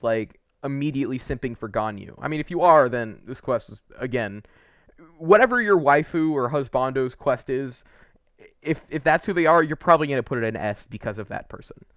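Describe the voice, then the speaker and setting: A man's voice: mid-range voice, fairly bright tone, clear, resonant tone, no room sound. A young man with a mid-range voice talks as a game reviewer in a dry-sounding recording. His commentary is casual and opinionated. He rambles informally and leans on slang.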